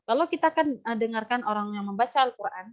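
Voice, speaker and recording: A woman's voice: a little high, animated, quick pace. A woman with an Indonesian accent teaching Quran recitation in a live lesson, explaining and demonstrating how sounds are pronounced. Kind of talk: live lesson answering student questions. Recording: dull, lo-fi online audio.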